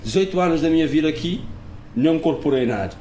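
A man's voice: on the high side, expressive, fast talker, warm tone, a little hiss or hum. A man from Cape Verde, a guest on an interview, who talks emphatically and repeats words while searching for them. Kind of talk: interview conversation.

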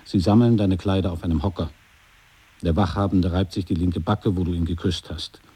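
A man with a husky, dark voice reading scripted second-person narration in a radio play. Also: sits low, fairly even intonation, a little fast.

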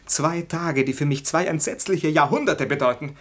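An actor playing a lovesick, proud young gentleman in a German radio comedy from 1960, declaiming ardently in a theatrical style. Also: on the high side, moderate pace, natural voice. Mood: wounded, lamenting. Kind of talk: German 1960 radio play, classical stage comedy acting.

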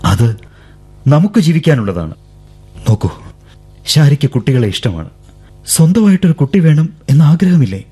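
A man's voice: a little high, very animated, moderate pace, long pauses, dark tone, husky. An actor playing a young man urging the woman he loves to leave with him, in a radio drama. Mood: pleading. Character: young man pleading with his beloved, earnest suitor. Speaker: lead character. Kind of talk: 1970s radio drama acting. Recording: old radio broadcast with faint music.

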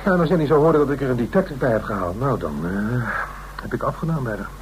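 A man's voice: mid-range voice, expressive, fast, natural voice. A man playing a flustered, easily offended legal adviser in a radio play, hesitant and stammering. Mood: worried. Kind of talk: naturalistic Dutch radio play acting. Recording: radio play recording with faint noise.

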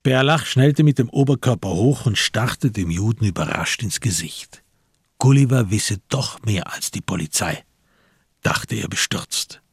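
A man's voice: on the low side, lively intonation, unhurried, creaky, slightly rough, no echo. A man reading scripted literary narration for a radio crime drama.

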